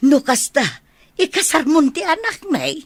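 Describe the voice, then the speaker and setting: A woman playing a doting, easygoing older village mother in a radio drama, with a husky, expressive voice and an Ilocano accent. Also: high voice, measured pace, dry recording, exaggerated voice. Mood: emotional.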